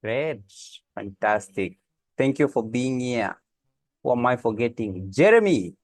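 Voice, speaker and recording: A man's voice: medium pitch, expressive, unhurried, dry recording. A man hosting an online meeting and greeting participants, with many fillers as he talks. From Kenya. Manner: friendly, hesitant with many uh fillers. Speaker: host of online office hours. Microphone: computer mic on a video call.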